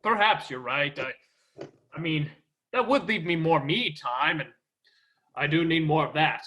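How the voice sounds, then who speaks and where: A man's voice: a little high, a little slow, sharp tone. A man playing a vain, self-loving superhero prince in a stage play, with a pompous, theatrical manner. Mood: vain musing.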